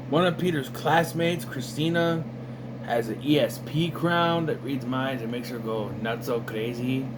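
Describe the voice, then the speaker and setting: A man in casual commentary, reviewing an animated series in a rambling, sarcastic way with lots of fillers. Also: medium pitch, noisy background. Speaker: video reviewer.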